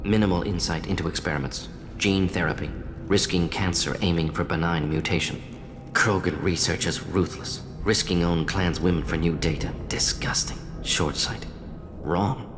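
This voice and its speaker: A man's voice: low voice, steady tone, moderate pace, natural voice. An actor playing a brilliant, conflicted and intense male alien scientist in a video game, speaking in clipped, telegraphic sentences. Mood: disgusted. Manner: clipped telegraphic sentences without articles or pronouns. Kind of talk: video game character acting. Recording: long hall reverb, game music behind.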